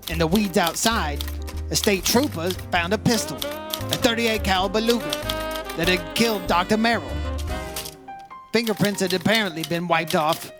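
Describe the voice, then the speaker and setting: A man's voice: high-pitched, lightly acted. A man acts out a hard-boiled male investigator from an old-time radio detective play. He is terse and businesslike, reporting like someone with no time to spare. Music plays behind him. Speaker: radio drama actor.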